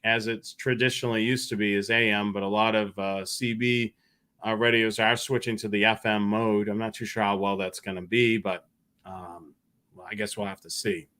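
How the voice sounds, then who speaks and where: Bright-voiced man hosting a live stream. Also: on the low side, little pitch movement, normal speed. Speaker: host of a scanner radio live show. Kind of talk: casual live stream talk, answering viewer questions.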